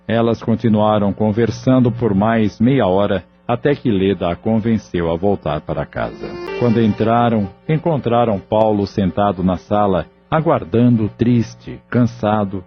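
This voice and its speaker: A man narrating an audio novel in a measured, somber storytelling voice. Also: lowish voice. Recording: dull lo-fi audio with faint music.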